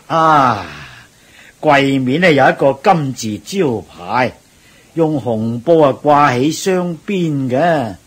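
Eager, husky monk companion. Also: male voice, medium pitch, lively intonation, slow, a bit bright, full character voice. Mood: curious. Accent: Cantonese, Hong Kong. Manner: chatty, agreeable, exclamatory. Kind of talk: radio drama acting.

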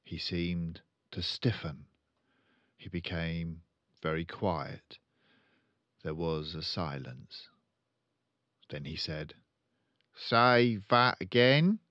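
An older man narrating a children's book aloud with measured, deliberate delivery. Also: very deep voice, flat delivery, no room sound, dull audio. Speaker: storyteller. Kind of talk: scripted children's audiobook narration.

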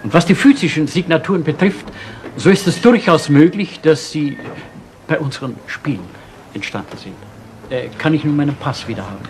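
An actor playing a genteel, evasive professor with a measured, stilted way of talking in a 1970s German crime radio play. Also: male voice, a little high, lively intonation. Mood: smug, guarded. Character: genteel, smug male professor, evasive and formal. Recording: noisy vintage radio drama recording.

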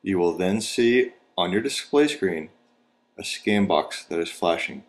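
Low-voiced male instructional narrator. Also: expressive, measured pace. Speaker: training video narrator.

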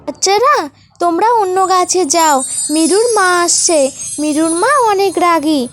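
A woman's voice: very high voice, normal speed, a bit bright, soft background music. A woman voicing a small, meek and protective mother bird in a cartoon, polite and pleading. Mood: apologetic, worried. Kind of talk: cartoon voice acting.

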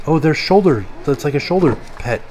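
A man's voice: fairly high pitch, lively intonation, brisk, darkish tone, a little hiss or hum. A middle-aged American man chatting casually and playfully as he vlogs on a handheld camera. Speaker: vlogger. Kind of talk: casual vlog chat. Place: mostly inside a busy gift shop.

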